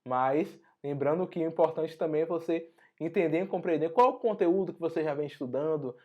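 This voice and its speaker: A hoarse man with a Brazilian Portuguese accent, teaching an online exam-prep lesson in a conversational way full of tag questions. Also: on the high side, a little fast, dull audio. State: hoarse after a flu. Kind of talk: online lesson walking through an exam notice.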